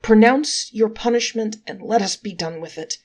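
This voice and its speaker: A woman voicing a male sinner in a folktale, wailing his confession loudly and melodramatically. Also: mid-range voice, moderate pace, pitched above the performer's own voice, close to an everyday voice. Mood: anguished, wailing.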